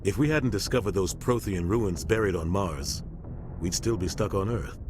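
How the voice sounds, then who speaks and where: An actor playing a commanding middle-aged starship captain in a video game, with a measured, authoritative military delivery. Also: male voice, sits low, animated, background noise. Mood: earnest, explaining. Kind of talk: video game dialogue acting.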